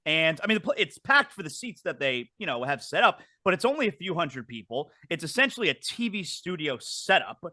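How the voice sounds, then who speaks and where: A middle-aged man hosts a casual wrestling show. His voice sits high and bright, and he rambles cheerfully, doubling back on his words. The sound is dry, with no room around it. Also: sing-song, a little fast, few pauses, no echo. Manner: chatty, repeats words when restarting. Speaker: podcast host.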